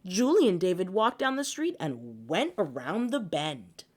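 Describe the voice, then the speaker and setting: Female storybook reader, expressive and theatrical, with swooping intonation for young listeners. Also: lowish voice, measured pace, slightly rough. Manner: animated, theatrical delivery. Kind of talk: expressive picture-book read-aloud.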